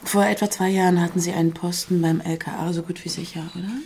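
An actress playing a persistent, sly journalist in a naturalistic radio crime drama, needling and self-assured. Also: female voice, on the low side, little pitch movement, quick pace, dark tone, slight background noise, close to an everyday voice. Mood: needling, confident.

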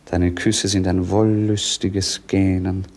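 A man's voice: deep voice, little pitch movement, takes it slowly, darkish tone. A man playing a bored, melancholy young prince in a radio play, languid, affected and poetic in his delivery. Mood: bored, tender. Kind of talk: theatrical German radio play acting.

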